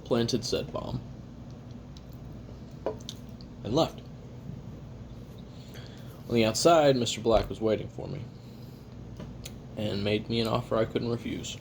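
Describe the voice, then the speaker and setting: A man playing a weary, jaded assassin who records a private confession in a halting, sardonic, casually profane way while eating. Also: medium pitch, vocal fry, a little mellow, slight background noise. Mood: matter-of-fact. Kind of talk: first-person confessional audio roleplay monologue.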